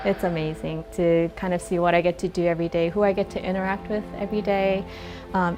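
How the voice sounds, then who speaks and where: A woman with a slightly low voice speaks in casual interview talk. She is chatty and enthusiastic and uses fillers. Clear music runs behind her. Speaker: visual strategist.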